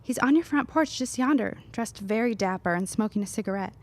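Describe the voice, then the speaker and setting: A woman's voice: medium pitch, lively intonation, brisk, natural voice. Audio drama young lady female actor: witty, playful, wry observer, middle register. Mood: curious.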